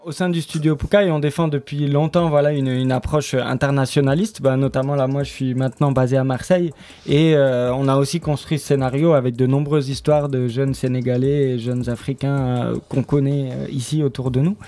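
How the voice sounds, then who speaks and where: Halting man explaining, mellow voice. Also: mid-range voice, steady tone. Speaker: guest, radio drama writer and studio member. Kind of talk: unscripted radio interview.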